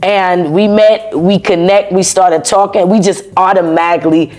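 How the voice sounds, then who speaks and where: Animated American woman telling her story. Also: lowish voice, normal speed, faint music behind. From United States. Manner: animated, repeats words, laughs often. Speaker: interview guest, former soldier. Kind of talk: casual conversational storytelling.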